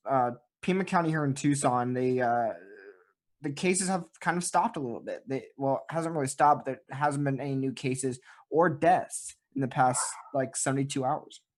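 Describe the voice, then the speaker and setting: A man from the United States hosting a casual talk show, with halting phrasing. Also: mid-range voice.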